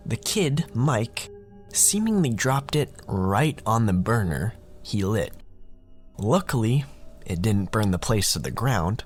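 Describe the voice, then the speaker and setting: Casting: a man with a lowish voice, slow and wry, telling a spooky story over soft music. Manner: casual, wry, conversational. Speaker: narrator and podcast host. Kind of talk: scripted horror storytelling.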